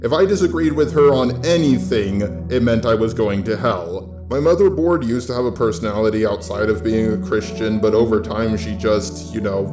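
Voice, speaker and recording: American guy doing a scripted opinion rant, blunt and sweary, echoey room w faint music. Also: medium pitch. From California, United States. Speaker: YouTube host with a robot avatar. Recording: roomy echo, faint music bed.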